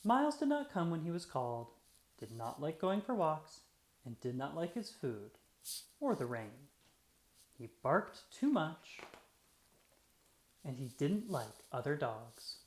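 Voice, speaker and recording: A children's read-aloud narrator with a mid-range voice that rises and falls in a lively way. The phrasing is deliberate and expressive, made for young listeners. The room adds noticeable echo. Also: mid-range voice. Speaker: storyteller reading aloud. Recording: roomy, echoey sound.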